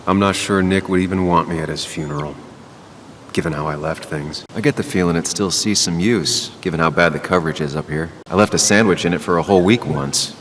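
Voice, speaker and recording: An actor playing a melancholy, cynical former journalist in a subdued, introspective game monologue. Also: male voice, rumbling low, a little hiss or hum. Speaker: game protagonist narrator. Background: music.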